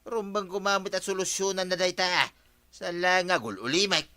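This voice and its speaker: A man's voice: high-pitched, normal speed, pushed and bright. A man with an Ilocano accent playing an opinionated village neighbour in a radio comedy drama. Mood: complaining. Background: music.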